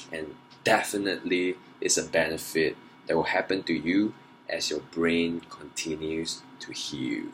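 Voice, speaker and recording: A man's voice: low voice, a bit bright, a little hiss or hum, low-quality recording. A young man talking earnestly and emphatically to camera in a personal challenge vlog, trying to persuade his viewers. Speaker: vlogger.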